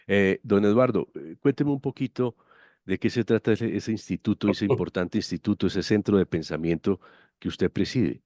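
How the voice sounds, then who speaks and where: Male interviewer, very deep voice, courteous, dry close recording. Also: moderate pace, darkish tone, dry recording. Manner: courteous and effusive. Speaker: interviewer, host. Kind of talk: friendly interview questions.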